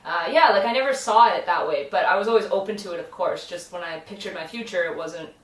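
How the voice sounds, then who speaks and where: A woman's voice: fairly low pitch, quick pace, fairly bright tone. A young woman, chatting easily as a vlog co-host and laughing between lines. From Canada. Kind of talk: casual chat. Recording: echoey room, mic at a distance.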